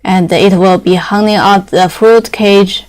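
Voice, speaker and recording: A young woman whose first language is Chinese, explaining factory machinery in halting English with many fillers and restarts. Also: medium pitch, steady tone, a little mellow, low-quality recording.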